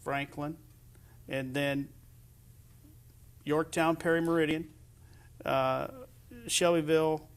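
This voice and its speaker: A man's voice: a little high, level tone, slow, pauses often, clean tone. A middle-aged American man hosting a conversational sports interview, asking long, somewhat hesitant questions. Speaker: host of a high school sports interview show.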